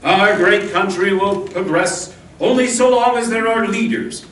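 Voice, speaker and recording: A woman playing a noble masked male Ranger in an old-time radio Western, speaking earnestly in measured declamation. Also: medium pitch, natural voice. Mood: earnest, resolute. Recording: roomy distant stage pickup with music.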